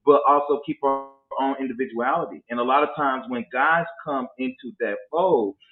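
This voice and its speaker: A man's voice: medium pitch, moderate pace. A man in his forties talking casually about dating, emphatic and preachy. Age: 40s. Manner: preachy, emphatic, slips into mock voices to make a point. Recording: echoey, tinny phone-like sound.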